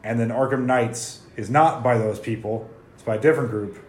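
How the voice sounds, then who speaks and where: A man's voice: medium pitch, fairly even intonation, moderate pace. A young man co-hosting a casual chat, laid-back and rambling, a bit stuffy-nosed from the sniffles. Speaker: podcast co-host. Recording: echoey room with faint hiss.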